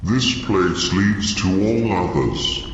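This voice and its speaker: Echoing, deep hive-mind entity. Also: male voice, animated, exaggerated voice. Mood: ominous, commanding. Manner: slow, solemn, commanding. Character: ominous collective hive-mind entity demanding submission. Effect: heavy reverb. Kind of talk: comic dub voice acting. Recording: muffled, cavernous long echo, faint noise.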